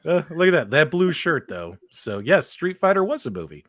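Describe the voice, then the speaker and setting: A man's voice: a little high, big pitch swings, normal speed, no echo, clean studio sound. A man hosting a casual live panel show, informal and conversational as he addresses viewers directly. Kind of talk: casual live-stream hosting and chat reading.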